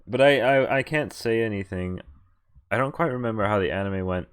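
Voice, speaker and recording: A man streaming a visual novel, reading the text aloud and breaking off haltingly into muttered casual commentary. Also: on the low side, clear, resonant tone. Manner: halting, often pausing to mutter asides. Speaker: game streamer.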